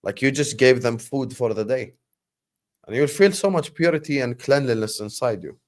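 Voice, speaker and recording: A man's voice: medium pitch, normal speed. Arabic-accented man hosting a livestream. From Jordan. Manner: animated, gives shout-outs to viewers. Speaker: livestream host. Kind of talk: casual livestream chat.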